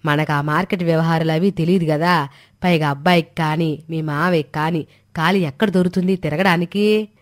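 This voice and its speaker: A woman's voice: deep voice, steady tone, brisk, close to an everyday voice. A woman playing an older, cautious village mother-in-law in a storybook drama, with homely colloquial speech. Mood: doubtful, firm. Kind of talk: dramatized Telugu moral story dialogue.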